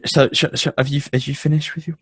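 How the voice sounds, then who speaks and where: A young man from the English Midlands with a Midlands accent, hosting a casual podcast chat, halting and full of fillers and restarts. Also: medium pitch, steady tone, fairly bright tone, slightly rough, no echo. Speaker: podcast host. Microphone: headphones and home mic.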